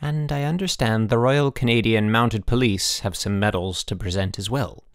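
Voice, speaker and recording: A man's voice: lowish voice, normal speed, clean tone, no room sound, lightly acted. Stern formal headmaster done by a male reader, authoritative, dry studio-like sound. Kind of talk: audiobook character voice.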